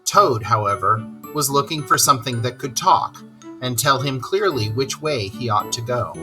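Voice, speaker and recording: A woman reading a story aloud to children as an expressive narrator who explains things. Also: rumbling low, moderate pace, over music. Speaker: storybook reader.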